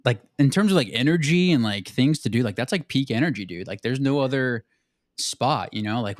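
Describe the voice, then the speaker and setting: A man's voice: medium pitch, no room sound, clean studio sound. A man hosting a casual podcast chat, laid-back and loose, filling his talk with "like" and "dude". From southern Arizona. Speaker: podcast host.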